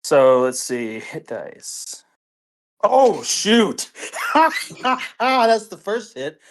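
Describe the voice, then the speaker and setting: A woman's voice: mid-range voice, wildly swooping pitch, extremely slow, slight background noise. A woman chatting casually as a player in a tabletop roleplaying game. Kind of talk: casual chat while roleplaying.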